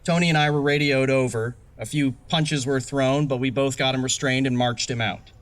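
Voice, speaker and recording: A man's voice: medium pitch, steady tone, moderate pace, close to an everyday voice. A man acting as a loyal, matter-of-fact outreach worker in an audio drama, speaking conversationally. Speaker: supporting actor.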